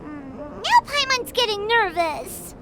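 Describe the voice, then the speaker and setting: A woman voicing a tiny, excitable, vain childlike fairy companion in an anime video game, squeaky and given to exclaiming. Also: slow, very noisy, full character voice. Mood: doubtful. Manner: refers to self by name, exclaiming, indignant. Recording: loud game music behind.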